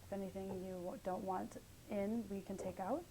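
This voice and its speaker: A woman's voice: mid-range voice, fairly even intonation, moderate pace, dark tone, a little hiss or hum, low-quality recording. A young adult woman conducting an oral history interview, asking questions in a tentative way with many fillers.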